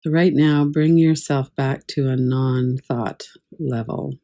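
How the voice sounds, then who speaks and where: A woman teaching guided meditation in a calm, measured, gently instructive way. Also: a bass voice. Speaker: yoga nidra meditation teacher.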